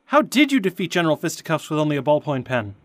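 A woman playing an eager, wide-eyed, excitable teenage fan in a comedy audio drama. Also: sits low, fast talker, dry recording. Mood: excited.

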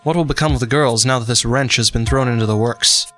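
A man's voice: medium pitch, sharp tone, soft background music, natural voice. A man playing a solemn, suspenseful soap-opera narrator in a scripted radio serial. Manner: measured, melodramatic.

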